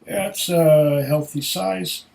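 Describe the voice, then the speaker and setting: A man's voice: fairly high pitch, drawn-out words, vocal fry, noisy background. Congested, tired man chatting casually. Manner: rambling, many fillers. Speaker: whisky reviewer. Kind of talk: casual vlog chat.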